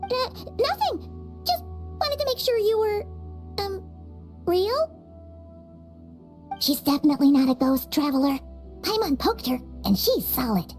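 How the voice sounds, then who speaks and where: A woman voicing a tiny, excitable, cheeky childlike female fairy in a video game, squeaky, chirpy and dramatic. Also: measured pace, pauses often, soft background music, full character voice. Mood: flustered, defensive. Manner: chirpy, dramatic, speaks of herself in third person.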